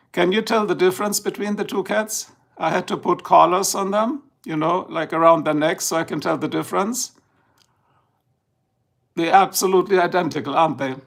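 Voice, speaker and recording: Gravelly German-accented man on a livestream. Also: high voice, a bit bright. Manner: rambling, chatty, slow and drowsy at times. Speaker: livestream host. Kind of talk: casual live-stream chat. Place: indoors, filming a tablet slideshow.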